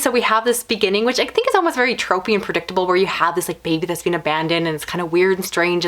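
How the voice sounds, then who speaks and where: A woman reviewing books in a casual video, speaking candidly and giving measured, opinionated criticism. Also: medium pitch, fairly bright tone. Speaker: book reviewer, channel host. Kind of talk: casual book review to camera.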